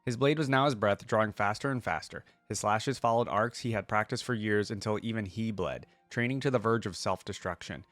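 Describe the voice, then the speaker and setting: A man with a fairly low voice hosts a reaction video. He moves between reading story text aloud and casual, off-the-cuff commentary. The sound is dry and close, with little room echo. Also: a little fast, hardly any pauses, fairly bright tone, soft background music.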